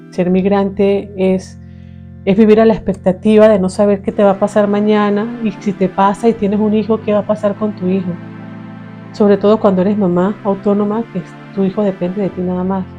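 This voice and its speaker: A woman gives an earnest, pleading documentary testimony in a mellow, dark tone. Faint music plays beneath. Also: mid-range voice. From Venezuela. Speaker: migrant mother, psychopedagogue.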